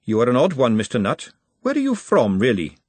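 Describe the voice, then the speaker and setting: A man's voice: medium pitch, wildly swooping pitch, brisk, dry recording. A man voicing a blunt, sardonic working-class woman in an audiobook, with a dry, bitter manner. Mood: curious.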